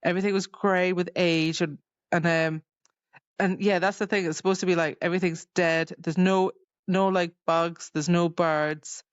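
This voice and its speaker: A woman's voice: lowish voice, fairly even intonation, moderate pace, dry recording. Woman, podcast co-host, informal chatty retelling, dry sound, slightly muffled microphone. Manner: chatty, loose retelling with lots of "like" and acted-out quotes. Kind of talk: casual book recap chat.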